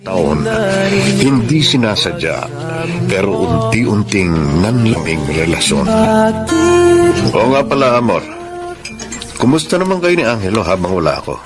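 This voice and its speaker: An actor playing a middle-aged husband and teacher with a cold, dismissive temper in a radio drama. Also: male voice, on the low side, big pitch swings, unhurried, pauses often. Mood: casual. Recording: music behind the voice.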